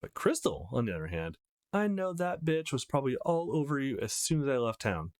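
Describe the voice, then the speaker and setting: A man's voice: mid-range voice, big pitch swings, fairly quick, darkish tone, no echo, clean studio sound. A man voicing a feisty, foul-mouthed teenage girl in a visual novel, brash and confrontational. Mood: jealous, catty. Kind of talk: acted visual novel read-aloud.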